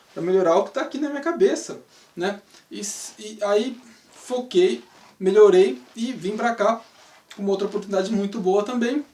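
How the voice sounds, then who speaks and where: A man's voice: high voice, darkish tone. A man in his thirties from Brazil with a Brazilian Portuguese accent, hosting a casual monologue that rambles with rhetorical questions and tag questions. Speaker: channel host, English teacher. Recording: roomy sound, mic at a distance.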